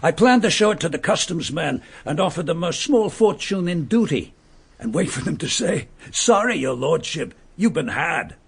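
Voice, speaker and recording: A gravelly, mocking killer. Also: male voice, a little high, sing-song, moderate pace, full character voice. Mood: sneering. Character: murderous male impostor, mocking and bitter. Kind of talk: vintage radio drama acting.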